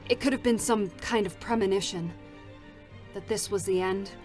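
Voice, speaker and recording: A woman voicing a weary, battle-hardened female android deserter, speaking in a cold, flat, bitter way during a live concert reading. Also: mid-range voice, moderate pace, close to an everyday voice. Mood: somber. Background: faint music.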